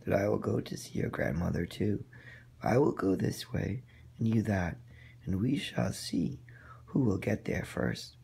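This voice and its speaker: A man's voice: fairly low pitch, measured pace, vocal fry, warm tone, breathy edge, full character voice. A man voicing a sly, hungry big male wolf in a storybook, speaking in a crafty, coaxing way.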